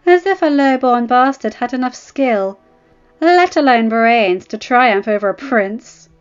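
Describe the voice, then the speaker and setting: A woman voicing an arrogant, spoiled teenage prince with haughty, taunting, drawn-out emphasis. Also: a little high, expressive, clear, resonant tone, lo-fi sound, faint music behind, voice pushed up. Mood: sneering.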